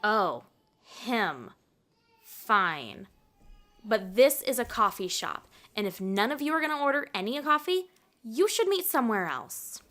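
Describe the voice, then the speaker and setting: A woman playing a prickly, curt young barista in an audio drama, pert and quick to take offence. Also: fairly high pitch, very animated, a bit bright. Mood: annoyed. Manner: pert, indignant customer-service talk.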